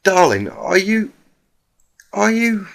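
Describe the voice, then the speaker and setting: A man's voice: high voice, very animated, slow, creaky, a bit bright, voice pushed up, heavily acted. A man voicing a refined female unicorn in a story reading, genteel and solicitous. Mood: concerned.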